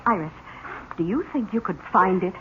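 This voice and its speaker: An earnest, protective aunt played by a woman, coaxing and theatrical, with lively, swooping intonation. It is 1970s radio drama on a tinny recording with faint noise. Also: medium pitch, normal speed, close to an everyday voice.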